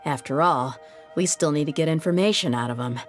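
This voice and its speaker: Woman playing a low-voiced, confident female desert mercenary. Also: faint music behind, close to an everyday voice. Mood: focused, confident. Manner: brisk, cocky, casually menacing. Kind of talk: video game voice acting.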